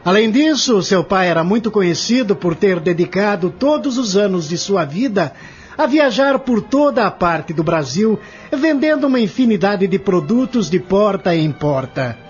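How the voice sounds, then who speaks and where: A man's voice: sits high, very animated, moderate pace. A man playing a formal, confident, accusing prosecutor in an audio drama, speaking emphatically. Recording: muffled lo-fi audio with music.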